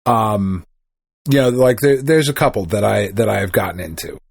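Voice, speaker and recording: A man's voice: on the low side, normal speed, creaky, dry recording, studio quality. A man co-hosting a casual podcast chat, easygoing and teasing. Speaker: podcast co-host.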